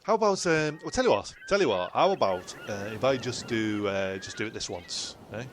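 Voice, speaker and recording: A man's voice: lowish voice, lively intonation. Blustering, stubborn castaway played by a man, faint noise. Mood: pleading. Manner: repetitive, halting, sweary. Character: blustering, stubborn would-be hijacker castaway called John. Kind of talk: amateur comedy audio drama.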